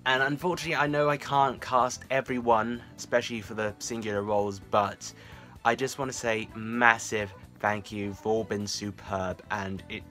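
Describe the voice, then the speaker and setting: A young man in his twenties talks casually to camera with a bright, forward voice. His delivery is effusive and informal, at an ordinary male pitch, with music playing behind him. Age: 20s. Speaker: creator of a fan audio series, vlog host. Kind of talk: casual update vlog to camera. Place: small room at home.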